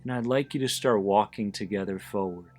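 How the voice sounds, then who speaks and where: A man leading a guided visualization in a deliberate, soothing manner, pausing between short phrases. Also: fairly low pitch. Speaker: host of an anxiety support podcast, guiding listeners. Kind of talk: guided visualization, meditation narration. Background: background music.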